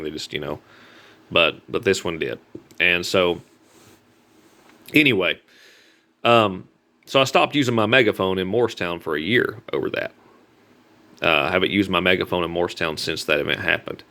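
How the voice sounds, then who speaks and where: Male, Southern US accent, deep but hard-edged voice; earnest, folksy, halting vlog delivery. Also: bright, forceful tone. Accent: Southern American. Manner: halting, repetitive, earnest telling with folksy grammar. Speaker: street preacher. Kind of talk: informal personal vlog talk.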